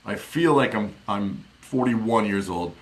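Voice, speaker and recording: A man's voice: lowish voice, animated, measured pace. A man in his forties hosting a casual live stream, rambling and chatty with plenty of fillers, speaking into a desk studio mic in a small home office. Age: 40s. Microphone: studio mic on desk with headphones.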